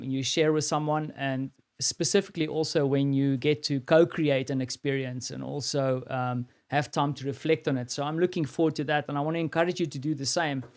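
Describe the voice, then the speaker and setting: Chatty South African man, slightly high voice. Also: steady tone, fairly quick. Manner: rambling, many fillers and restarts. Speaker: live-stream host. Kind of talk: casual live-stream monologue.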